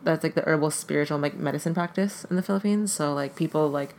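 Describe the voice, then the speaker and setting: A podcast guest whose first language is Tagalog, with a gravelly, creaky edge to a mid-pitched voice. They talk casually and haltingly, with "like" dropped into almost every phrase. A faint hiss of noise sits under the recording. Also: darkish tone. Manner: hesitant, heavy use of "like" fillers. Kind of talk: casual conversation.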